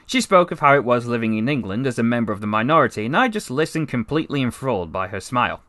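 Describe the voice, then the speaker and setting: A man's voice: medium pitch, sing-song, quick pace, pushed and bright, no room sound. A man voicing a chatty, wry, besotted half-alien narrator who tells his comic story conversationally, with rambling asides. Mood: smitten, enthusiastic. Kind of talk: first-person comic story narration, voice acting practice.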